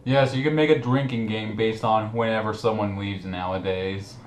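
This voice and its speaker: Male commentary host, lower voice, mocking casual chat, roomy recording with background noise. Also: fairly even intonation, moderate pace. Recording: echoey room with clear background noise.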